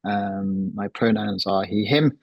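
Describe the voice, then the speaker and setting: A man from Manchester introducing himself on a business webinar panel, relaxed with many fillers. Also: fairly low pitch, slow pace, creaky, no echo, dull audio. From Manchester, England. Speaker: panelist, services director.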